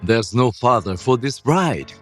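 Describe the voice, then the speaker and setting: A man's voice: medium pitch, animated, measured pace. A man playing a stern, blunt middle-aged father in a manga story dub. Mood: stern, cold. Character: stern middle-aged father disowning his cheating daughter. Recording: music under the voice.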